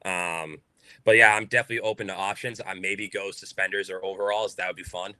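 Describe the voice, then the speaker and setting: A young man with a Boston accent speaks in a very low, deep voice with a bright, forceful edge. He talks casually and outspokenly, piling on fillers, and the recording is dry and close. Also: steady tone, brisk, no room sound. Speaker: baseball player guest. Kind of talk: podcast interview.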